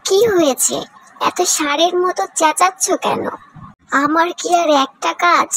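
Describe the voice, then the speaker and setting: A woman's voice: extremely high pitch, a little slow, a bit bright, no echo, full character voice, poor audio. A woman playing an irritable, overworked housewife in a cartoon, scolding and grumbling. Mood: irritated. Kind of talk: Bengali cartoon dub.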